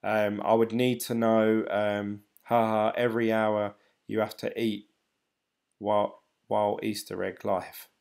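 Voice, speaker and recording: A British man in his thirties hosts a late-night live stream, talking loosely with plenty of ums and half-started sentences. His voice sits fairly low and sounds tired and slightly croaky and dry. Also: little pitch movement, a little slow, darkish tone. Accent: British English. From United Kingdom. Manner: rambling, hesitant, many ums and restarts. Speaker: crypto channel host reading live chat.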